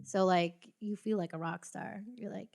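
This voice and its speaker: A woman's voice: medium pitch, fairly even intonation, moderate pace, vocal fry, a little mellow. A young American woman, a podcast guest, chatting casually with lots of "like" and frequent laughter. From United States. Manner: chatty, laughs often, heavy use of "like". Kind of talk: casual chat.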